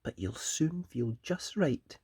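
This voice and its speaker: A storyteller doing a hushed, gentle mother rabbit. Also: mid-range voice, sing-song, takes it slowly, slightly rough, dry recording, studio quality, pitched above the performer's own voice, heavily acted. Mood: soothing, hushed. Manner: soft, hushed, tender. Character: gentle motherly rabbit soothing her young son.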